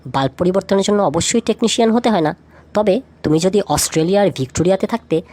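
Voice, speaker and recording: A woman's voice: medium pitch, quick pace, dark tone. A woman presenting a scripted, light trivia feature, speaking directly to the listener with rhetorical questions. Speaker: lead narrator of a feature video.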